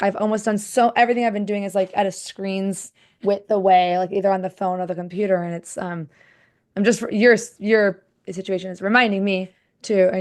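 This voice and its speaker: A woman's voice: mid-range voice, a little mellow. A middle-aged woman speaking as a podcast host, chatting informally and thinking aloud as she interviews a guest. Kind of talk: casual conversational interview.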